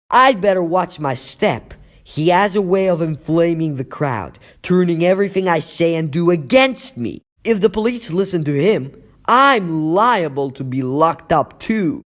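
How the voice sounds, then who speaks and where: Male actor as earnest teenage superhero, comic book dub, raised pitch, lively melodramatic delivery, anxious, hot-tempered. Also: measured pace. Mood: worried. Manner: dramatic thinking aloud.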